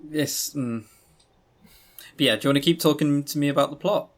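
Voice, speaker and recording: A man's voice: a little high, moderate pace. A man co-hosting a podcast in casual chat, with a wry, joking, teasing way of talking. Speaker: podcast co-host. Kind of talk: casual chat reviewing visual novels.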